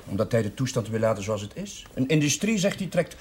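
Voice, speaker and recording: An actor playing a good-natured, earnest village chaplain in a Dutch period TV drama, polite and hesitant, on old television audio. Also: male voice, medium pitch, big pitch swings, a little fast, darkish tone, breathy edge. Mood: uneasy, earnest.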